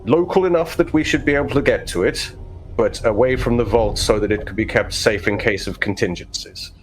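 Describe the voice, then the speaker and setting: A man playing a stern, pompous vault overseer, speaking in formal, measured phrases in a tabletop roleplaying game. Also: medium pitch, soft background music, lightly acted, low-quality recording. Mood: commanding, formal. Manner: formal, measured, stiff-upper-lip.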